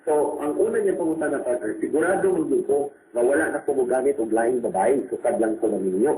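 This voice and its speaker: A man's voice: mid-range voice, fairly quick, dark tone. A man with a Cebuano Filipino accent, a doctor co-hosting a radio advice program. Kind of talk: radio advice talk with co-host. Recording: roomy echo, clear background noise.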